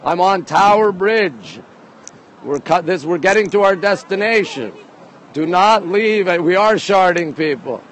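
A middle-aged man hosting a casual walking live stream, chatty and excitable, often repeating himself as he reacts to viewers. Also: high voice, unhurried, low-quality recording. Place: outdoors on busy city streets, walking. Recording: outdoor street and traffic noise.

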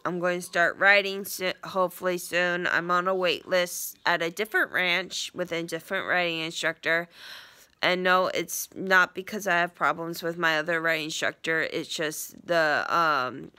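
A woman's voice: on the low side, fairly even intonation, normal speed, bright, forceful tone, smooth. Stuffy-nosed young woman rambling on a phone. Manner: rambling, many ums and restarts. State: stuffy, congested from a sinus infection. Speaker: vlogger. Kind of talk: casual vlog update. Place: small living room indoors, on a couch. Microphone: phone front camera at arm's length.

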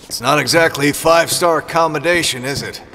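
A man's voice: fairly high pitch, brisk, pushed and bright, big-room sound. An actor playing a roguish, sarcastic assassin mercenary who teases his way through an audio drama. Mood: teasing. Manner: sarcastic, teasing. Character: sardonic, roguish male assassin mercenary, mock-wounded and teasing.

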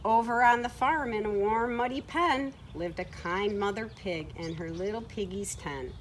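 A middle-aged woman reading a picture book aloud to children in an expressive way, recorded outdoors. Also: medium pitch, normal speed. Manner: expressive, acting out short quoted words. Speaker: children's story time reader. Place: outdoors on a farm, beside horses. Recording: outdoor ambient noise from camera mic.

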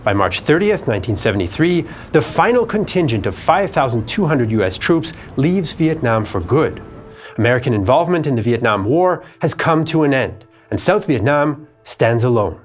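Male history host, 30s, expressive scripted read; light music bed under the voice. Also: medium pitch, moderate pace. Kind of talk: scripted documentary narration. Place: dark studio set.

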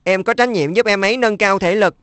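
A woman's voice: medium pitch, fairly quick, a bit bright, dry recording, lightly acted. Woman voicing an earnest big-brother boy. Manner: earnest, coaxing. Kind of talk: cartoon dub.

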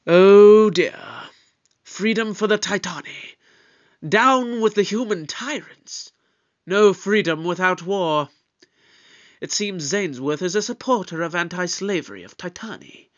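A man playing a shrewd, authoritative detective in an audio drama, with a pompous, deliberate, probing delivery. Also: sits high, muffled recording. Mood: suspicious, brisk. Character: shrewd, authoritative male time-travelling detective, pompous and suspicious.

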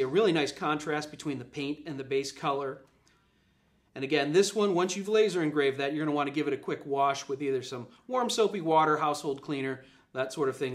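A man, giving an upbeat live product demo in an office room, with many fillers and repeated points. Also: on the high side. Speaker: product presenter. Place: office room with a display table.

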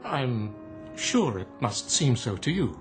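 A deferential robot butler in a radio play. He is formal and solicitous, with animated pitch, and music is clearly heard behind him. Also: slow pace. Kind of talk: radio drama acting. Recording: music clearly under the dialogue.

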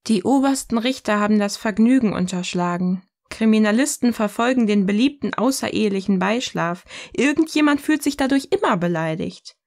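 A woman acting a self-assured, provocative partner in scripted audio-novel dialogue, speaking in a poised, deliberate way. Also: medium pitch, darkish tone, lightly acted.

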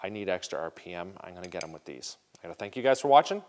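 A man's voice: low voice, animated, very fast, very noisy. A man in his forties runs a casual how-to demo in an indoor garage workshop. He talks straight to the viewers in an upbeat, easygoing way, recorded on a lapel mic. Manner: upbeat, direct, talks to viewers as "you guys". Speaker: show host demonstrating snowmobile parts.

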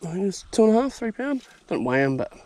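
A young man with a New Zealand accent giving excited, slangy running commentary while fishing outdoors at a pond, recorded on an action camera. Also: sits high, quick pace. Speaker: fishing vlogger. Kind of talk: casual outdoor vlog commentary. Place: outdoors by a high country pond. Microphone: action camera mounted on the body.

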